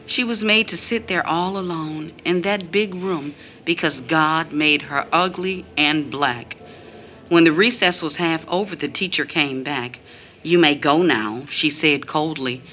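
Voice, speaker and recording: Hurt bitter mom in an audio play, kinda low voice, slow careful phrasing, bit of background noise. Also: female voice, sharp tone. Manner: deliberate phrasing, quotes others in mimicked tones. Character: bitter, wounded mother recounting her young daughter's mistreatment. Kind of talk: audio drama monologue.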